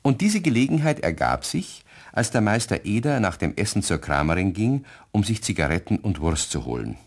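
A man's voice: on the low side, sing-song, moderate pace, husky. A man narrating a scripted children's story as the narrator of a radio play.

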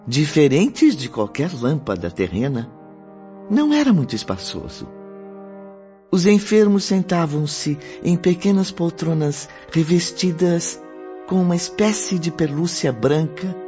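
A man narrating a radio drama in a measured, literary style. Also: on the high side, dark tone. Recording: dull lo-fi recording. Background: music.

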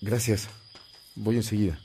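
An actor playing a devout, humble young priest of twenty-three in a solemn, reverent radio drama. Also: male voice, fairly low pitch, steady tone, unhurried, a little hiss or hum, close to an everyday voice. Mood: respectful. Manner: solemn, reverent, chanting at times. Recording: echoing church-like hall, loud music behind.

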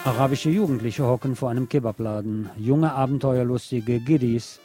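Male radio host, medium-pitched voice, poetic night-time narration, deliberate short sentences, loud music underneath. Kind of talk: late-night radio monologue, literary scripted narration.

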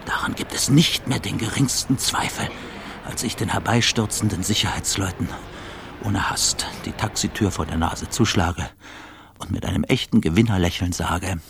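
A man's voice: deep voice, moderate pace, vocal fry. A man playing a paranoid, obsessive traveler who speaks in a grandiose, embattled radio-play monologue. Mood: defiant, triumphant. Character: paranoid, obsessive, defiant traveler convinced of his triumph. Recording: faint background ambience noise.

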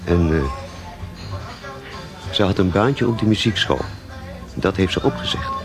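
A man's voice: low-pitched, animated, unhurried, music in the background. An actor playing a talkative, cynical barman in a naturalistic radio drama, speaking in a hesitant, rambling way. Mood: reflective, halting. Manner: hesitant, rambling, with self-corrections. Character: talkative café barman, cynical, fond of missing bandmate.

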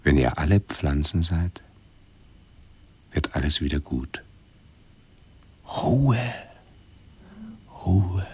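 A man playing an anxious, suffering hypochondriac in a radio drama, muttering halting, pedantic scholarly phrases. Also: extremely low pitch, breathy edge, full character voice. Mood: anguished, fearful. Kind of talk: 1970s German radio drama acting.

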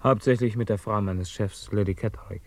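An actor in a German radio drama playing a brooding, conscience-torn scientist, speaking in an introspective, theatrical way. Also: male voice, fairly low pitch, steady tone, a little fast, lightly acted. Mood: guarded, curious.

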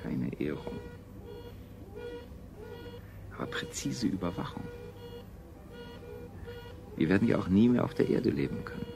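A clinical colony scientist boss, lowish voice, measured, old radio drama, music underneath. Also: male voice, very animated, gravelly, exaggerated voice. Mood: cold, stern. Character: cold, controlling male scientist-ruler of a space colony. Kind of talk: 1970s German radio play acting.

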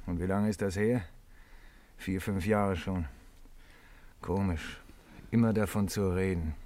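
An actor playing a proud, touchy simple man in a 1950s German radio play, halting and repeating his words. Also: low-pitched, fairly even intonation, warm tone. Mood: defensive, uneasy.

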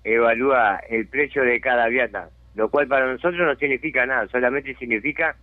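A man's voice: medium pitch, brisk, no room sound. A Uruguayan man and settlement spokesman, talking insistently and indignantly in a radio phone interview, often asking if he is understood. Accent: Uruguayan Spanish. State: has a chronic lung disease. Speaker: settlement resident and community spokesman. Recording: dry tinny phone line.

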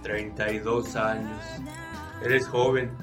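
Slow-talking Mexican hospitable family doctor. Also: male voice, lowish voice, little pitch movement. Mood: genial. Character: hospitable, easygoing family-man doctor hosting a dinner. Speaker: supporting actor. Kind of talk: radio drama acting. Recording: distant from microphone, music underneath.

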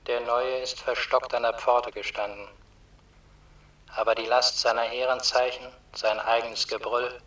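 An actor playing a sober narrator of the underworld, giving scripted radio drama narration in a grave, literary manner. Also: male voice, mid-range voice, a bit bright, natural voice. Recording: roomy echo, distant from mic.

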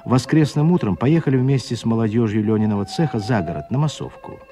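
A man narrating a literary radio drama as a measured, expressive storyteller. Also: mid-range voice.

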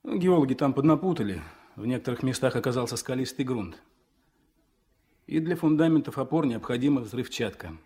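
An actor playing an earnest young Komsomol instructor, speaking formally and officially in a 1970s Soviet radio play. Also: male voice, medium pitch, animated, brisk, warm tone, natural voice. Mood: earnest, urgent. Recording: old mono radio play recording.

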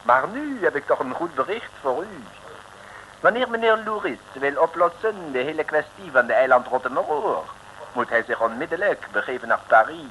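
An actor playing a suave French secret agent posing as a waiter in a 1960s radio comedy, with a French accent and over-polite manner. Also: male voice, on the high side, very animated, normal speed, pushed and bright. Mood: effusive, secretive. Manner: florid, over-polite, formal. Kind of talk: 1960s comic radio serial acting. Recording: noisy old radio recording.

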